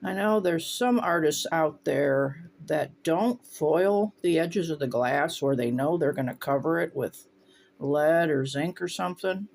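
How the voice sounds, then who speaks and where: Gravelly man demonstrating glasswork. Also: a little high. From Northwest Ohio, USA. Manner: relaxed, talks while working, thinks aloud. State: runny nose, voice briefly faltering. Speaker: stained glass instructor. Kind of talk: casual workshop demonstration. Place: home glass studio with windows open.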